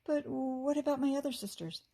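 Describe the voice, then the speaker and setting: A woman's voice: high-pitched, fairly quick, creaky, mellow, voice pushed up. A woman voicing a tiny, sweet, earnest fairy girl in a children's story. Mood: relieved, excited. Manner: gasping, exclaiming.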